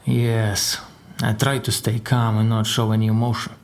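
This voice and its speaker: An actor playing a crime boss's guarded secretary in an audio drama, telling his story in a dry, deliberate way. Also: lowish voice, little pitch movement, natural voice. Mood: grave, measured. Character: formidable Russian crime boss's secretary, guarded male survivor. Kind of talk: audio drama dialogue and narration.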